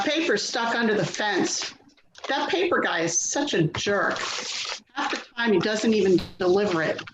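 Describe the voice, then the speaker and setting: A woman's voice: medium pitch, reverberant, noisy background, close to an everyday voice. A woman acting a helpful, grumbling visitor in a play reading, with a casual manner.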